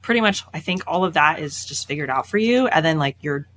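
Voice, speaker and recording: A woman's voice: low voice, lively intonation, brisk, a bit bright. A woman from the Chicago suburbs, a sports dietitian, chatting on a podcast in a bubbly, emphatic way with lots of "like.". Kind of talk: casual podcast interview.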